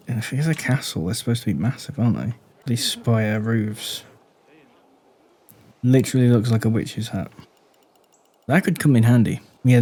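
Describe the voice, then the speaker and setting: A man giving casual commentary on a game build, speaking deliberately low and quiet while he thinks aloud and asks the viewer questions. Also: slight background noise. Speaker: gaming YouTuber.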